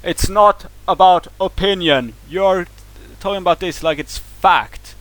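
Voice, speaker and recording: A man with a Swedish accent and a somewhat high-pitched voice vents in a heated, profane rant. He stumbles and restarts words as his anger builds. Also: unhurried, a bit bright. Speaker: vlogger reacting to a video. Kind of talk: angry rant to camera.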